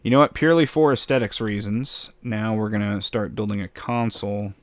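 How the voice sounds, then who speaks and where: Slightly raspy man rambling over gameplay. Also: fairly low pitch, fairly even intonation, brisk, dry recording. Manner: rambling, self-mocking, chuckles often. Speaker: gameplay commentator. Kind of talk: casual building commentary.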